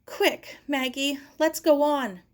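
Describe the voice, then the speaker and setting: Woman as an urgent, high-voiced young girl. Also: slow. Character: Sarah, young English girl, urgent, determined. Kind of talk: acted children's audiobook dialogue.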